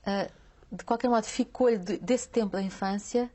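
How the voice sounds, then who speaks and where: Hesitant woman interviewer, mid-pitched. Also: moderate pace, warm tone. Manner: probing, restarts questions with hesitant repeats. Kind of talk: interview questions.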